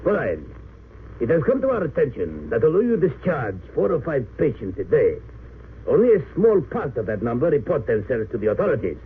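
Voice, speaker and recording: An actor playing a cold, haughty German army lieutenant in an old radio drama, stern, formal and sneering. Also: male voice, medium pitch, wildly swooping pitch, a little fast, husky, exaggerated voice. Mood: coldly formal. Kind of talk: 1930s-40s radio drama acting. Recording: tinny, hissy old radio recording.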